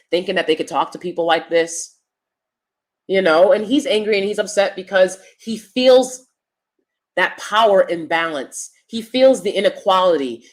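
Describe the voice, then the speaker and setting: A woman with a loud, projecting stage voice, giving emphatic opinion commentary as a vlogger and swinging between loud points and soft asides. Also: medium pitch.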